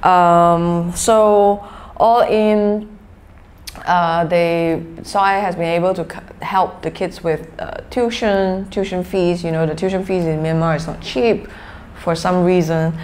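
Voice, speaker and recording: A woman in her forties from Malaysia with a Malaysian accent, answering interview questions conversationally in a halting, explanatory way. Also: on the low side, steady tone, darkish tone. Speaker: interview guest, social enterprise founder. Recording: clearly noisy background ambience.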